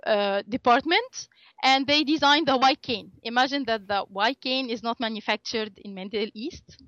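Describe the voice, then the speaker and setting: A woman's voice: a little high, normal speed, a bit bright, dry recording. Hesitant woman, Arabic native, dull dry sound. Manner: hesitant, many filler sounds, laughs often. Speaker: interview guest, assistive tech volunteer. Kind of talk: conversational interview.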